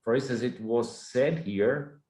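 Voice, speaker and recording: A man's voice: mid-range voice, measured pace, darkish tone. A man from Brazil giving a conference talk as a panelist, with a courteous, apologetic manner and restarted words. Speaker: panel speaker, professor. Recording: echoey, roomy sound.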